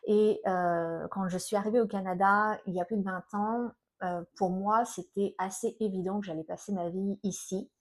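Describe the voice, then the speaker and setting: A middle-aged woman with an accent from France, talking informally to her viewers in a personal vlog, often pausing to find her words. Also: mid-range voice, steady tone. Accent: French from France. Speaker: self-employed energy healer and coach, video host.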